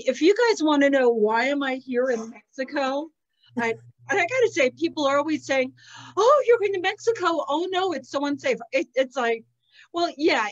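A middle-aged woman on a video call from an outdoor terrace, a little sniffly, chatting casually and telling animated stories with frequent laughter. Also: high voice, muffled recording. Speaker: psychic channel host. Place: outdoor terrace with potted plants. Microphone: laptop webcam on a video call.

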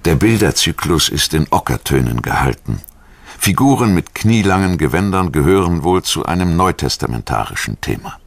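A man reading scripted documentary narration in a measured, formal manner. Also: deep voice, animated, gravelly, dry recording.

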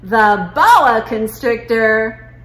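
A woman's voice: a little high, lively intonation, very slow, fairly bright tone, exaggerated voice. A woman reading a children's picture book as an amazed mother, asking eager, incredulous, emphatic questions. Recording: roomy living room with noise.

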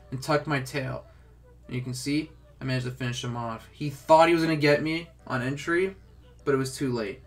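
A man's voice: fairly high pitch, a bit bright. A young man with a stuffed-up, congested voice, casually talking through a game tutorial with lots of filler words. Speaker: gaming tutorial host.

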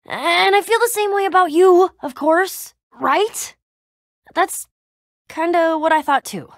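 A woman's voice: extremely high pitch, takes it slowly, no room sound, studio quality, full character voice. A woman playing a tough, snarky but loyal young female adventurer in a video game, with casual, slangy delivery. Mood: troubled, earnest. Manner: casual slangy delivery with sighs and sarcastic asides.

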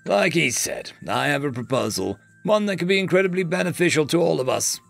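A man's voice: on the high side, animated, a little fast, gravelly, fairly bright tone, soft background music, pitched above the performer's own voice, heavily acted. A man voicing a cocky male bounty hunter who talks in a smug, joking, casual way.

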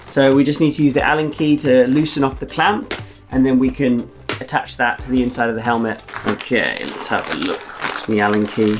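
A man's voice: medium pitch, fairly quick, music in the background. A young man chatting casually and explaining things to camera as a vlogger, with an enthusiastic, exclaiming manner. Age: young adult. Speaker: travel vlogger. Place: wood-panelled living room.

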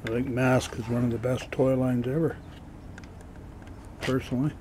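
A man giving a casual show-and-tell as a toy collector, low-key and matter-of-fact, on an amateur camera microphone. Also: level tone, moderate pace, darkish tone, a little hiss or hum. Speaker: toy collector showing his finds.

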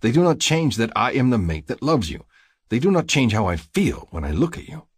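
A male narrator plays an earnest, possessive hunter declaring himself in an audiobook romance. The voice is low and husky, swinging widely in pitch. His phrasing is formal and intense, with no contractions. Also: very animated, moderate pace, darkish tone, no echo, clean studio sound. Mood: frustrated, intense.

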